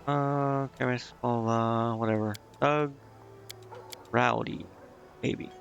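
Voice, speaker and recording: A man's voice: medium pitch, steady tone, takes it slowly, vocal fry, soft background music. A man thinking aloud in casual, indecisive gameplay commentary, muttering to himself as he streams. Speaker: game streamer.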